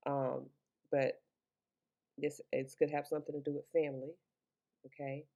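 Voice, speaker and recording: A deep-voiced woman with a gravelly, fry-laced edge gives a loose, chatty tarot reading. She rambles, swears in passing and talks into Bluetooth earbuds. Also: little pitch movement, normal speed, dark tone. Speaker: tarot reader. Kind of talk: casual spoken tarot reading.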